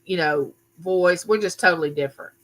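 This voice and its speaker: Older woman, livestream host; loud, bright, sharp-edged voice, chatty and welcoming by name. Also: on the low side, a little fast, poor audio. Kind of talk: casual live chat with viewers. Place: home room used for filming.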